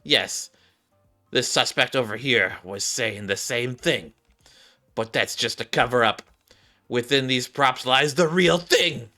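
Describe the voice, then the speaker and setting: A man's voice: mid-range voice, slow, pushed and bright, soft background music. A man voicing an overzealous, suspicious young female detective from a game, pompous and theatrical.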